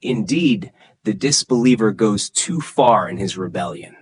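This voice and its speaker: Male storyteller, slightly husky, close and dry. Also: fairly low pitch, animated, measured pace, no room sound. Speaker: narrator. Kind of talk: scripted children's religious story narration.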